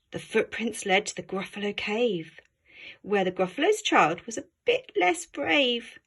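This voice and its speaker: Animated high-voiced woman reading a children's story. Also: slow, long pauses, husky. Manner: dramatic, engaging young listeners with questions. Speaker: head teacher reading aloud.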